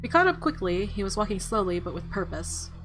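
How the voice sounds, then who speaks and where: A woman with a medium-pitched voice plays a story game, reading the narration expressively. She drops in casual, playful asides, with clear music behind her. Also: big pitch swings, brisk, poor audio. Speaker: gamer reading a visual novel aloud. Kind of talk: dramatic narration mixed with casual playthrough commentary.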